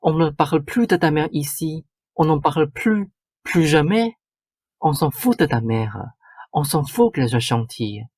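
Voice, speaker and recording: A man's voice: a little high, lively intonation, a little slow, a little mellow, breathy edge, no room sound, studio quality. A man playing a bossy, short-tempered stepmother in a radio drama, curt and lecturing. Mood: angry, menacing. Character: domineering, short-tempered stepmother laying down the law. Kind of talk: stage-like radio drama acting.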